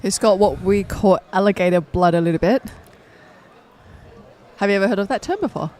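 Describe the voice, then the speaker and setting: High-voiced Australian man commentating poker. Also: a little fast, a little mellow. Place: commentary booth.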